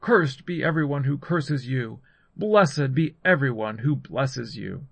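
A man's voice: medium pitch, expressive, takes it slowly, creaky, breathy edge, dry recording, exaggerated voice. A man voicing an aged, solemn father who declaims to his sons as in a scripture story. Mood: solemn, blessing.

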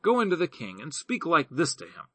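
A man's voice: fairly high pitch, wildly swooping pitch, fairly bright tone, husky, no echo, voice pushed up, heavily acted. A man reading a cunning army commander. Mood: instructing. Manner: instructive, deliberate.

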